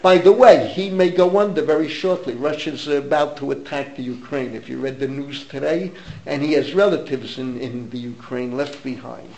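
A man's voice: medium pitch, normal speed, creaky, darkish tone, rough recording. An older man with an American accent teaching an informal Torah class, emphatic and opinionated, repeating phrases for emphasis and dropping in Hebrew terms. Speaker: rabbi giving a Torah class.

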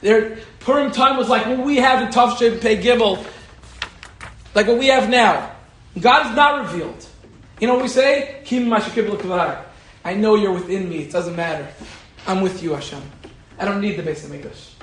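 A man, a rabbi, giving an animated Torah lecture and telling a personal story, repeating key phrases for emphasis. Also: high voice, normal speed, roomy sound, a little hiss or hum, poor audio. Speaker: rabbi teaching a Torah class.

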